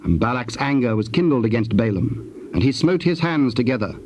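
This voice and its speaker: A man narrating scripture for a dramatized audio Bible in a measured, formal way. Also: mid-range voice, expressive, a little mellow, lightly acted. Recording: faintly hissy old cassette tape.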